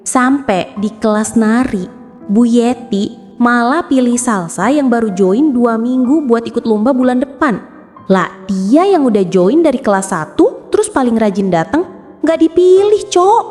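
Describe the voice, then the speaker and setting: A woman's voice: a little high, lively intonation, measured pace, a little mellow, long reverb tail, soft background music. A woman voicing a gossiping, slangy teenage schoolgirl in a cartoon drama. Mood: gossipy. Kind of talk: casual gossip in animated drama.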